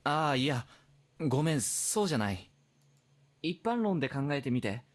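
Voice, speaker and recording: A man's voice: mid-range voice, sing-song, fast, natural voice. An actor playing a probing but polite and apologetic male investigator in a Japanese visual novel.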